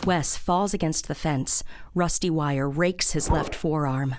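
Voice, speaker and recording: Low-voiced woman narrating an audio drama. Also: a little slow, darkish tone. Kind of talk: scripted present-tense audio drama narration. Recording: background sound-effect noise.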